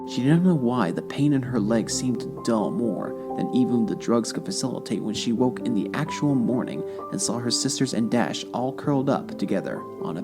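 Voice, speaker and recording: Solemn storyteller over loud music. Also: mid-range voice. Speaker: narrator. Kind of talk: dramatic scripted fan fiction narration.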